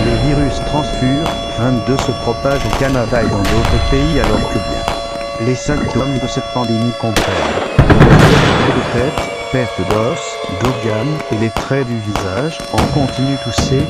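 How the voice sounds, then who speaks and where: A woman's voice: rumbling low, normal speed. A synthetic text-to-speech woman reading a scripted national emergency alert. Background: loud music.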